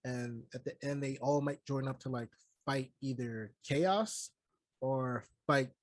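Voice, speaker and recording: A man's voice: mid-range voice, a little slow, dry recording. A man co-hosting a casual movie review, talking emphatically in slang, swearing freely and peppering his sentences with "like". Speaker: podcast co-host reviewing a movie.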